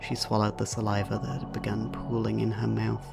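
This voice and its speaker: A man's voice: lowish voice, monotone, a little fast, gravelly, loud music behind the voice. A man reading scripted narration for an audio drama as its narrator.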